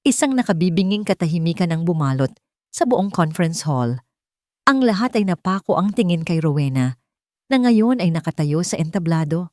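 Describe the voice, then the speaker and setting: A woman's voice: lowish voice, sing-song, moderate pace, warm tone, no room sound, clean studio sound. A woman reading a scripted dramatic story in a theatrical, suspenseful manner. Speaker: story narrator.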